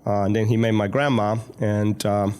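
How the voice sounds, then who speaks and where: A man's voice: fairly low pitch, little pitch movement, moderate pace, a little mellow, clean tone. A man in his sixties, from Cuba with Spanish as his first language, plainly and earnestly recounting his life story as a school board president in an interview.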